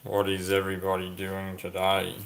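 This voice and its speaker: A man's voice: low-pitched, monotone, vocal fry, background noise. A man hosting a casual livestream, reading viewer comments aloud and musing over them. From Australia. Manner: slow, musing, reads comments aloud and ponders. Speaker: livestream host reading chat.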